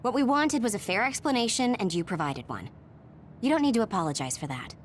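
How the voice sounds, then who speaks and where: A woman's voice: a little high, sing-song, fairly quick, a little hiss or hum. An actress playing a composed, analytical young female officer in an anime video game dub.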